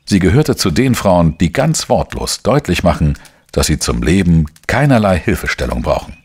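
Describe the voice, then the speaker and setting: An actor playing a weary, dogged journalist who narrates a crime radio play in a calm, understated way. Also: male voice, low-pitched, normal speed, husky, exaggerated voice. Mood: reflective narration.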